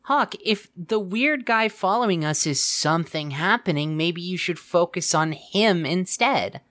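A woman playing a sceptical young sidekick in an audio drama, deadpan and matter-of-fact with a teasing edge. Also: fairly low pitch, measured pace. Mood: dry, resigned. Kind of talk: audio drama acting, conversational.

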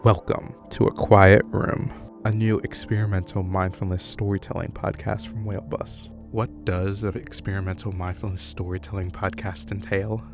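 A man hosting a mindfulness podcast, narrating in a slow, measured, soothing guided-relaxation style. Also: deep voice, flat delivery, gravelly, over music.

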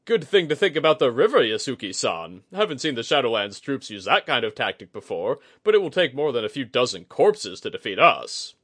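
A man voicing a proud, belligerent warrior commander in an audiobook story, loud, scornful and commanding. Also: mid-range voice, big pitch swings, brisk, few pauses, slightly rough, voice pushed up. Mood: boastful.

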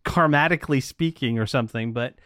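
A man's voice: mid-range voice, brisk, fairly bright tone, dry recording, clean studio sound. A man who coaches writers, talking earnestly in a casual chat and laughing between points. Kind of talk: casual conversation.

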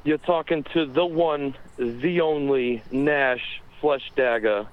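A man voicing a shady, smooth-talking male caller who drawls and hesitates a lot. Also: mid-range voice, slow, natural voice. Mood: sly. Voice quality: drawling, with many filler sounds. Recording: dull lo-fi phone sound.

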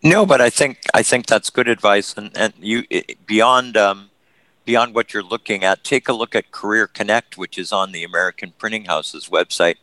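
A man hosting a call-in podcast, taking callers and quick to agree with them. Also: fairly low pitch, bright, forceful tone, no echo, rough recording. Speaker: host.

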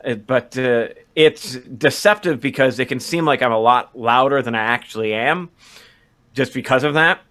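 A man's voice: medium pitch, normal speed, a bit bright. A man co-hosting a podcast in casual conversation, often stammering and restarting his phrases. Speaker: podcast co-host.

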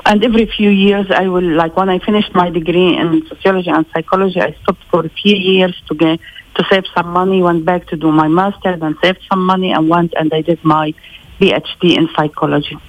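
A woman's voice: on the low side, fairly even intonation, dry recording. Woman from Lebanon on a lo-fi phone line. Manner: measured, thoughtful, slow with pauses. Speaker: interviewee. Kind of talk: recorded interview.

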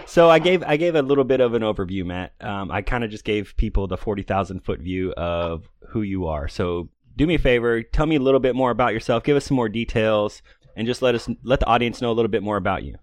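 A man's voice: lowish voice, fast talker, no echo. A middle-aged man hosting a podcast, interviewing in a chatty, informal, conversational way. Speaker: podcast host.

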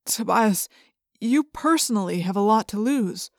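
A woman voicing a responsible teenage boy leader in an audiobook, direct, serious and forceful. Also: medium pitch, animated, unhurried, no room sound, studio quality.

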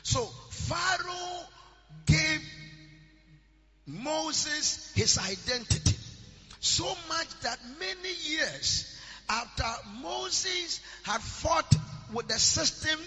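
A man preaching a sermon in a chanting, sing-song style that rises into shouts. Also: very high-pitched, lively intonation, drawn-out words. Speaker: pastor. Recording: church hall reverb with music behind.

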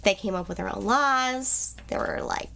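A woman's voice: medium pitch, moderate pace, vocal fry, pushed and bright. A woman co-hosting a podcast, nasal and a bit congested from a cold, chatting and telling history in a bubbly, self-deprecating way. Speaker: podcast co-host. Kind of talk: casual chat, telling history.

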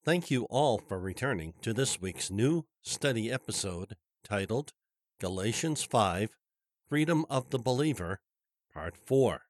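A man teaching a Bible study as a pastor, speaking slowly and carefully in a formal tone. His voice sounds stuffy and congested, recorded close and dry with no room sound. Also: mid-range voice, lively intonation, no echo. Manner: formal, deliberate delivery.

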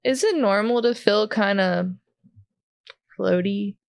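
A woman's voice: medium pitch, slow, vocal fry, voice pushed down. A woman voicing an amnesiac young woman test subject who is childlike and asks naive, playful questions. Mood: dazed.

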